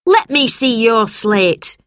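A woman plays a big, cross schoolmistress from a children's story. She scolds and barks orders imperiously. Her delivery is slow and deliberate, with some creak in the voice. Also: mid-range voice, a bit bright, no echo, clean studio sound. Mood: angry, scolding. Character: large, stern, bad-tempered schoolmistress who bullies children.

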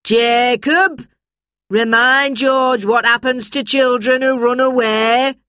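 A woman's voice: a little high, lively intonation, measured pace, a bit bright, no room sound, studio quality, pitched above the performer's own voice. A woman voicing a strict, menacing schoolmistress in a children's story, stern and commanding. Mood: angry, stern.

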